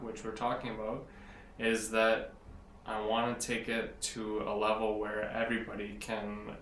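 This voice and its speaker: A man in his late twenties, answering interview questions in an earnest, rambling way, often pausing to search for words. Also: fairly low pitch, fairly bright tone. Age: 20s. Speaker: interviewee, blogger. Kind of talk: casual interview. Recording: echoey room from a distance, faint noise.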